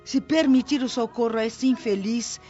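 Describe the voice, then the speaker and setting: Woman acting an earnest, higher-voiced compassionate nurse. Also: moderate pace, dark tone, dull audio, soft background music, lightly acted. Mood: pleading. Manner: earnest, pleading. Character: compassionate, earnest spirit-world nurse pleading for mercy. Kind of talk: radio drama acting.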